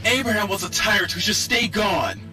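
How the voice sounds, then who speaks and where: Man acting high, intense comic-book fighter, distant playback. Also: animated, brisk. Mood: defiant. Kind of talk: dramatic motion comic voice acting. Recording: distant playback with music behind.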